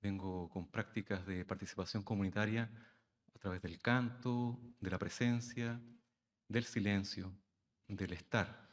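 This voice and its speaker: A Chilean man, an actor, speaking from the audience at a conference panel in a soft, halting, reflective way with many pauses. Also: lowish voice, steady tone. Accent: Chilean Spanish. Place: university conference hall.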